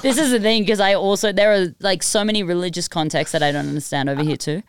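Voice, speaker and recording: A young Australian woman, a music producer, chatting on a podcast in a giggly, self-deprecating, animated way. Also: fairly low pitch, fast talker, smooth. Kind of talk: casual podcast chat.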